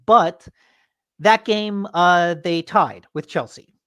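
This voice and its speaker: A woman's voice: lowish voice, takes it slowly, a bit bright, no room sound, highs cut off. A woman co-hosting a podcast in a casual chat, telling rambling anecdotes with many fillers and teasing her co-host.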